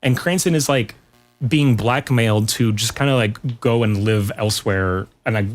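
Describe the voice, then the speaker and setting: A man's voice: mid-range voice, normal speed, faint music behind. A man talking casually as a podcast guest, in an informal way with rambling asides. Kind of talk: casual pop culture chat.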